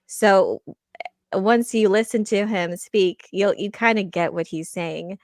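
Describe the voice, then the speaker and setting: A woman on a podcast panel, explaining things casually in a halting way with restarted phrases. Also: medium pitch, darkish tone, dry recording, clean studio sound. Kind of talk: casual discussion.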